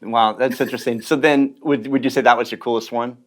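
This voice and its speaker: A man hosting a casual interview show, in a middle-pitched voice. He asks questions in a rambling way and drops quick, playful quips. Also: steady tone. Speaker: show host.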